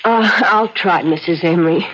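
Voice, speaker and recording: An actress playing a timid, well-meaning housemaid in a 1970s radio drama, deferential, hesitant and apologetic. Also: female voice, on the low side, normal speed, fairly bright tone, natural voice. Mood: meek, flustered. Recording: dull old radio broadcast, faint hiss.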